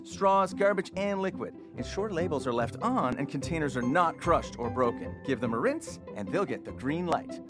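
A man reading a public-service radio ad in an instructive, upbeat way. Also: on the high side. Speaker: ad announcer.

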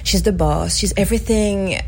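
A woman's voice: mid-range voice, normal speed, creaky, a little hiss or hum. A middle-aged woman with a French accent, an actor being interviewed on radio, who laughs often and talks with animation. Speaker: actor guest.

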